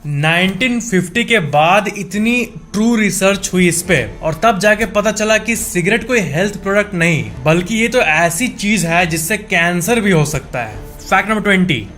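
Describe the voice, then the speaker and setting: A man with a high-pitched voice narrates an informal facts video over background music. He explains things conversationally, speaking directly to the viewer and restating his points as he goes. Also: expressive, moderate pace, hardly any pauses, sharp tone.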